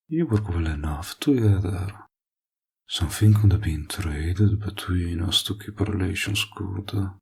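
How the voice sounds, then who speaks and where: A man playing a smug, menacing villain in an audio roleplay, taunting and mock-polite, possessive, with a gravelly, husky voice. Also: low voice, darkish tone, exaggerated voice. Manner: taunting, mock-polite, deliberate drawl with drawn-out threats. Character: smug menacing male villain, possessive toward a former friend. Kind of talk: scripted audio roleplay drama.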